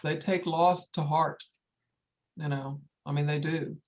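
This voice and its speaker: A woman's voice: sits low, steady tone, unhurried, darkish tone. An older woman speaking earnestly and informally to an audience, stressing her points by repeating phrases. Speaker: wildlife sanctuary founder hosting a story time.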